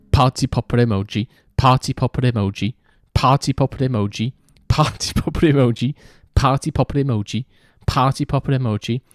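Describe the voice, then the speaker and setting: A man's voice: mid-range voice, steady tone, normal speed, no room sound, studio quality. A young man streaming a racing game, chatting casually and joking with his chat as he reads it. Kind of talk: casual gaming livestream chat.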